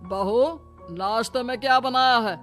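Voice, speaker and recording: A woman's voice: a little high, unhurried, fairly bright tone. A woman voicing an older, paternal father-in-law who advises patiently in a cartoon moral story. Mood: demanding. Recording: music bed behind voice.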